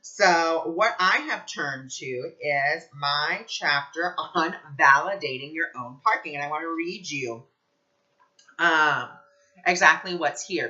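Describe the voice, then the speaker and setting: A man's voice: fairly high pitch, pushed and bright, dull audio. A man talking in a casual spiritual vlog as a psychic reader, gushing and enthusiastic.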